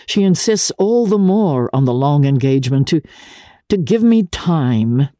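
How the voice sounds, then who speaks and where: A woman playing an earnest, lovestruck young gentleman who speaks hesitantly and intensely in a dramatic audiobook reading. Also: deep voice, animated, no room sound, studio quality. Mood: earnest, flustered. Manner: halting, earnest, repeating words.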